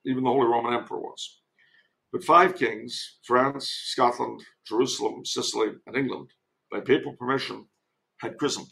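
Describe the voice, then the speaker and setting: A man with a gravelly, creaky voice talks as a podcast guest. He offers historical commentary in a conversational way, wandering into digressions and dry asides at a medium pitch. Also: fairly even intonation, moderate pace, fairly bright tone, rough recording.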